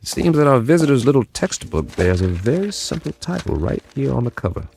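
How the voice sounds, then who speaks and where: An actor playing a wry, idealistic government codebreaker in an audio drama, talking in a folksy, conversational way. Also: male voice, medium pitch, sing-song, a little fast, gravelly, darkish tone, faint music behind. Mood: dryly amused. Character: skeptical-of-war, idealistic male government codebreaker, wry and weary. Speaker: lead actor and narrator. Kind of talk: audio drama acting with first-person narration.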